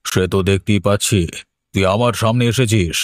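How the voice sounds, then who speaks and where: A man's voice: on the low side, fairly even intonation, normal speed, no echo, studio quality, natural voice. A man playing a stern, scheming middle-aged father and occultist in a horror cartoon, with a commanding, menacing manner. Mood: menacing, demanding. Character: stern, scheming father who raises ghosts by occult practice. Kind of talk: Bengali horror cartoon voice acting.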